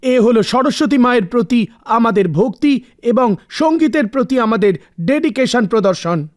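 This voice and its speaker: A man's voice: very high-pitched, lively intonation, moderate pace. A man voicing a village festival announcer in a cartoon, formal and declamatory. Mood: proud, festive.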